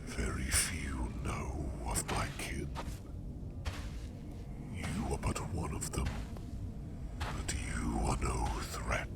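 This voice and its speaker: Sleep demon for ASMR roleplay: calm and eerie, extremely slow, crackling deep in the throat, formal and deliberate. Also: male voice, heavily acted. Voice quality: very deep gravelly half-whisper, heavy fry. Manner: slow, hushed, deliberate, formal phrasing with long pauses. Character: ancient-seeming male sleep demon, calm, eerie, gently watchful. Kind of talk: ASMR roleplay, scripted monologue.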